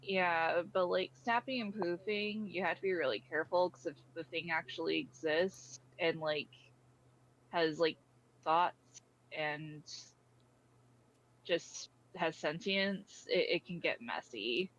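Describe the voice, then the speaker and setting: A blunt otherworldly void being, played by a woman in a comedy skit, with a lowish female voice. The delivery is matter-of-fact and explanatory with casual cursing, on a dull lo-fi microphone. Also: slow pace, fairly bright tone, exaggerated voice. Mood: blunt, cautioning. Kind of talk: improvised comedy skit dialogue.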